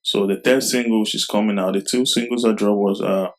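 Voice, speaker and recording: A young man from Cameroon, raised in Germany, a rapper answering interview questions in a soft, halting way with many fillers. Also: on the low side, fairly even intonation. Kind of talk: casual interview talk.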